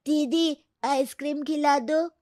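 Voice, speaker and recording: Actress as small needy storybook child, very high voice, slow and pleading. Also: female voice, steady tone, a little mellow, no room sound, studio quality. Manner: pleading, addressing an elder sister.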